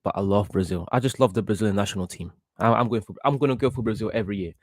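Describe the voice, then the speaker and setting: A young man from Portugal, about eighteen, hosting a casual football watchalong stream in an excitable, reactive way and often repeating himself. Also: lowish voice, very fast, no echo, studio quality. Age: young adult, about 18. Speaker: livestream host.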